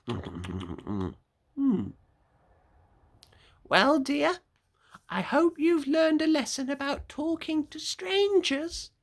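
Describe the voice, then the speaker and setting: A teacher doing a high, fussy old granny. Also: very animated, extremely slow, long pauses, heavily acted. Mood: gently scolding. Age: older. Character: kindly old grandmother, gently scolding.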